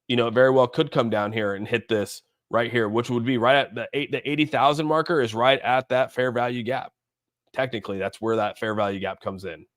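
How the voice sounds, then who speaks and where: A man's voice: fairly low pitch, a bit bright, dry recording, studio quality. A man hosting a casual livestream, rambling informally and thinking aloud as he comments on markets and responds to viewers. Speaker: livestream host. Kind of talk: casual live chat commentary on crypto markets.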